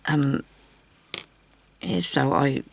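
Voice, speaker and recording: An older Australian woman with a low, husky voice roughened by a lingering cough, chatting casually and self-deprecatingly as she rambles through a craft video. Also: drawn-out words. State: lingering cough after weeks of sickness. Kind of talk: casual chat while hand stitching.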